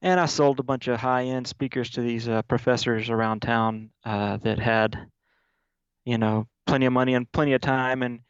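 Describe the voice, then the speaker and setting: A man from Mississippi, a guest in an interview, telling stories in a relaxed way with many fillers over a video call. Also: mid-range voice, moderate pace, smooth, dry recording, highs cut off. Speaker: guest, audio software developer.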